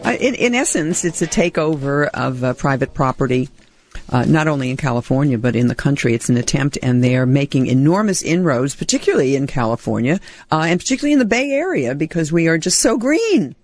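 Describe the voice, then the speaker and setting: Radio host: animated woman, brisk delivery, dry close studio mic. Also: no room sound, faint music behind. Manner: brisk, animated, with sudden pitch jumps. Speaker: radio talk show host. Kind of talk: talk radio hosting. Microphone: studio microphone.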